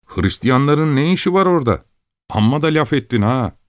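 A man voicing a naive, sulky young peasant lad, in a higher, whiny, plaintive voice. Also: animated, normal speed, dry recording, clean studio sound, natural voice. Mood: indignant. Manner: whiny, plaintive, rising pitch.

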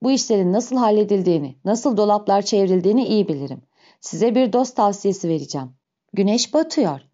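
A woman's voice: mid-range voice, expressive, a little fast, warm tone, lo-fi sound, lightly acted. A woman voicing an anguished old gardener. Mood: scheming. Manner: pleading, anguished self-talk with sudden raised cries. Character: shrewd old male gardener, scheming then grieving.

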